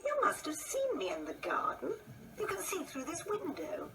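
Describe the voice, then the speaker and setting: An actress playing a prim, patient but exasperated older wife in a radio play, chiding and full of questions. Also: female voice, sits high, huge pitch swings, normal speed, noisy background. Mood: fretful, searching.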